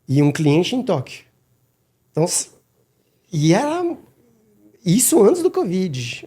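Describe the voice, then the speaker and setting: A middle-aged man, a guest on a podcast, talking casually and haltingly with many restarts and repeated words. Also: fairly high pitch, vocal fry, mellow. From Madagascar. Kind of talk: casual interview talk.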